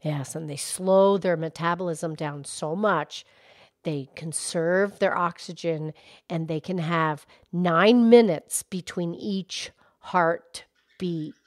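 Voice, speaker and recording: Woman voicing a slow, gentle cow puppet. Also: on the low side, no echo, clean studio sound. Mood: calm, informative. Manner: measured, soft-spoken teacher-like delivery. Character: calm, gentle, knowledgeable grown-up cow puppet. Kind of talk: children's puppet show dialogue.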